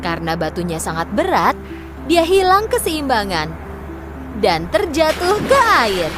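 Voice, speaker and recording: A woman's voice: a little high, big pitch swings, a little slow, music in the background. A woman storyteller reading scripted fairy tale narration for children.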